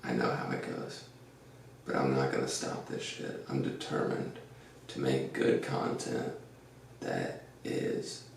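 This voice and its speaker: A man hosting a casual podcast, with a deep, gravelly voice, rambling through an earnest pep talk full of fillers. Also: darkish tone, far from the mic. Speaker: podcast host. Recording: echoey room, off-mic, faint noise.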